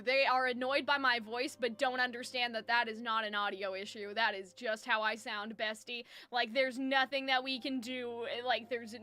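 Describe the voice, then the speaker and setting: A woman chatting casually as a livestream host, rambling and slangy in a giggly way, close to the microphone. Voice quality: high-pitched when excited. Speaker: live streamer, host. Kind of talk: casual livestream chat with viewers. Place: soundproofed room at home. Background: faint music.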